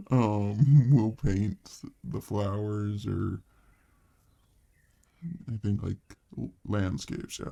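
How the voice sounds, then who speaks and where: A man acts out a thoughtful boyfriend on a date in an ASMR roleplay. His voice is soft and mellow with a lot of gravelly fry, and he talks slowly and casually. He rambles hesitantly, affectionate and gently teasing. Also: mid-range voice, full character voice. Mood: musing, relaxed.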